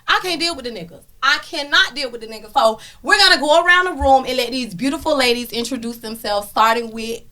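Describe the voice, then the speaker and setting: A woman hosting a casual podcast, loud and playful, laughing often with her guests. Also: sits high, fast, hardly any pauses. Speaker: podcast host. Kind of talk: casual chat. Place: indoor studio room with guests. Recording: lively crowd of guests in the room. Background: group of guests chatting and laughing.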